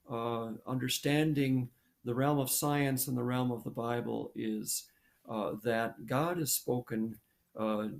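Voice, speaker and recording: A man in his sixties, a scientist guest, answering at length over a video call, halting with many fillers and restarts. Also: mid-range voice, gravelly. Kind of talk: thoughtful interview answer. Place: home room with posters.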